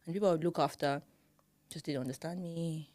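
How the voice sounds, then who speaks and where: A young Nigerian woman with a Nigerian accent, co-hosting a podcast in casual, animated chat and laughing often. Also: low voice, a little fast, dry recording. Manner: animated, laughs often, quotes people in put-on voices. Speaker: podcast co-host.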